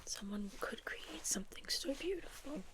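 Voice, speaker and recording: A woman's voice: high voice, animated, normal speed, poor audio. Whispering South African woman, ASMR roleplay. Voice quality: whispery, breathy. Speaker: ASMR host playing a medical examiner. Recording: clearly audible background noise, clicks.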